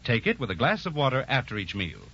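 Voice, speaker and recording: A male announcer delivers an emphatic vintage radio sales pitch. His voice is forceful and a little raspy, with animated emphasis, through muffled 1940s broadcast sound. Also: fast talker. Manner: emphatic, persuasive. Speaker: advertisement announcer.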